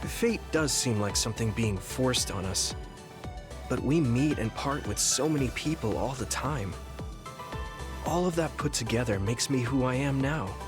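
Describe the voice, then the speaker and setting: A man's voice: mid-range voice, lively intonation, a little slow, natural voice. A man voicing an earnest, sincere young male soldier in an anime game dub, reflective in delivery. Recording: music playing behind the voice. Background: game background music.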